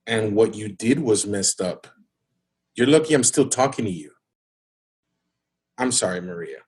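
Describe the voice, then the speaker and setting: Husky, exasperated young father. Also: on the low side, expressive, a little slow, a little mellow. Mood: firm, weary. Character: hurt, exasperated young father rebuffing his ex. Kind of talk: naturalistic scripted audio drama.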